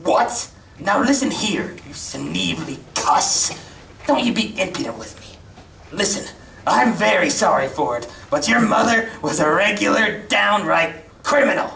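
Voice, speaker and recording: A woman's voice: medium pitch, animated, a little slow, creaky, fairly bright tone. A woman playing a bullying young shop assistant in a school stage play, with coarse, taunting speech. She is recorded from far off in a roomy hall. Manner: coarse taunting working-class speech, cackling. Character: sneering bullying teenage boy assistant. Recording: distant roomy stage recording, faint noise.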